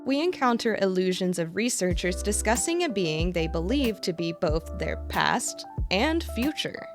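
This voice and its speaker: Female narrator, slightly higher than average voice, dramatic storytelling emphasis, scripted game lore recap, voice-over with clearly audible music behind. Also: very animated, normal speed.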